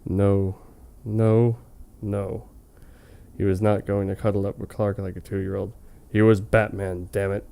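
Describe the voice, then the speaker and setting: A man's voice: lowish voice, flat delivery, a little slow, vocal fry, mellow, clean tone. A man reading fan fiction on a comedy show in a hammy, mock-dramatic way, with casual, amused asides. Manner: hammy, amused, breaking off to comment.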